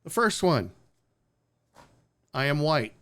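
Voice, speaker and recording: A man's voice: fairly high pitch, sing-song, slow pace, fairly bright tone, clear, resonant tone. A man hosting a live-streamed talk show, talking casually and bluntly with sarcastic asides and swearing. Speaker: podcast host. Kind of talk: casual opinionated chat.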